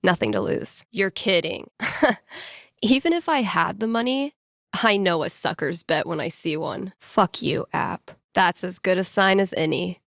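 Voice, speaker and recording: A woman's voice: fairly low pitch, a little slow, gravelly, a bit bright, no echo, studio quality. An actress playing a laid-back, geeky young American woman in a video game, speaking in a casual, wry, playful way. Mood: wry, self-talking. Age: young adult. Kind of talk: naturalistic video game character dialogue.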